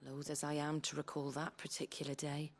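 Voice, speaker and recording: A woman playing a brisk, plain-spoken British innkeeper in a video game, earthy, colloquial and wry, tough but kindly. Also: low voice, monotone. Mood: wry, reminiscing. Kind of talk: video game character dialogue. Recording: game music behind the voice.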